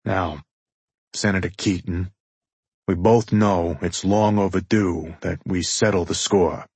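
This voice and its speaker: A man's voice: low-pitched, steady tone, takes it slowly, darkish tone, breathy edge, dry recording, exaggerated voice. A man voicing an older mobster father-in-law in an audiobook, formal, mocking and defiant. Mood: stern, bargaining.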